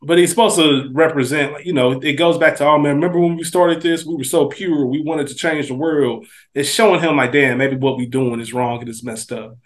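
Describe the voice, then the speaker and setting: A man's voice: on the high side, fairly quick. A man co-hosting a casual TV recap podcast, speaking in an informal, opinionated way. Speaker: podcast co-host.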